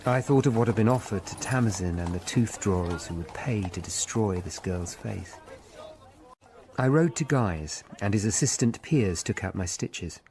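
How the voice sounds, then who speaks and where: Deep-voiced sombre Tudor narrator. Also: male voice, animated, a little mellow. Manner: measured, literary. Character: sombre, watchful Tudor investigator narrating his memories. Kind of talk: scripted first-person drama narration. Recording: clear background noise and ambience.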